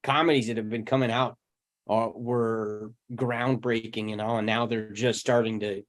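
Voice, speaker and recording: A man in a casual discussion, working through his ideas in a thoughtful, halting way and checking in with listeners. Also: on the low side, level tone. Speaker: panel participant.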